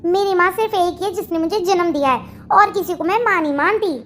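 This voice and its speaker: Someone voicing a very high-pitched snappish young bride. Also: brisk, pushed and bright, slight background noise. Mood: irritated. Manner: curt, snappish, sarcastic. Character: rude, irritable young newlywed bride and daughter-in-law. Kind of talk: Hindi family comedy cartoon dialogue.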